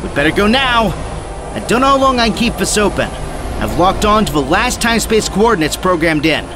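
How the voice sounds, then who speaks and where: Animated, serious young male tech expert acted by a man. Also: high-pitched, brisk, heavy background noise. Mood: urgent. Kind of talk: audio drama acting.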